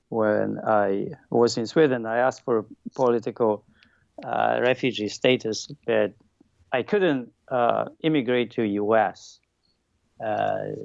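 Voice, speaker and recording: A man from Poland with a Polish accent, a native Polish speaker, giving halting, thoughtful answers as an interview guest. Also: lowish voice, gravelly, darkish tone, no echo, dull audio. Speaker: podcast guest, former Olympic weightlifter. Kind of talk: interview answers, personal recollection.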